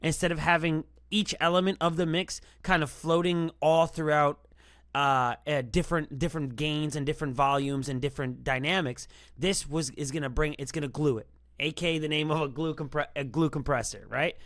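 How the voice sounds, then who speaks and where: A man's voice: a little high, steady tone, normal speed, a bit bright, no room sound. Dry-sounding man hosting a casual tutorial. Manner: informal, rambling explanations. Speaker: music production tutorial host.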